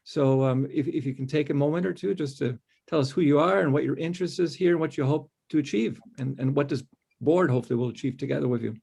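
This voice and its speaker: A man's voice: on the high side, quick pace, vocal fry, dark tone, breathy edge. A man chairing a city board meeting over a video call, speaking in a friendly, rambling way with many fillers.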